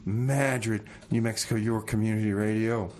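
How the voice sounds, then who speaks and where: A man hosting a casual live radio interview in a laid-back, halting way, repeating his phrases. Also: lowish voice, fairly even intonation, noisy background. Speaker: community radio host. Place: radio studio.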